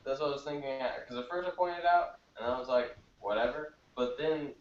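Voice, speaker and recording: A man chatting informally in a halting, slangy way. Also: medium pitch. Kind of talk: casual, informal chat. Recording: roomy, distant, dull, faint noise.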